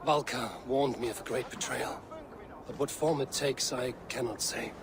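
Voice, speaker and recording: An actor playing a loyal, blunt Viking warrior in a video game, earnest and plain-spoken. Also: male voice, mid-range voice, fairly even intonation, normal speed, crowd noise, lightly acted. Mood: troubled. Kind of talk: dramatic video game acting.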